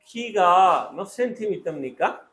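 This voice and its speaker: A man's voice: high-pitched, expressive, measured pace, a bit bright, a little hiss or hum. A man acting as a Korean exam interviewer, giving short instructional commands and set questions.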